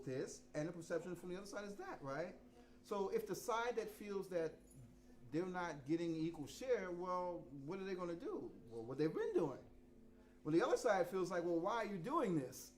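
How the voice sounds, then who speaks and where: A man in his fifties from New York, talking frankly and profanely to students at a masterclass, sometimes quiet and sometimes loud. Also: high voice, big pitch swings, normal speed. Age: 50s. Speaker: visiting drummer teaching a masterclass. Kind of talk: casual talk to students. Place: rehearsal room.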